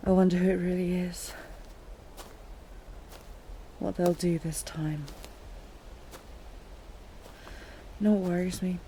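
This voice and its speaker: A woman playing a wounded, delirious captive queen in an intimate audio roleplay, breathy and often whispering, halting and lovelorn as if half-dreaming. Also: fairly low pitch, level tone, dark tone, slight background noise, natural voice. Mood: delirious longing.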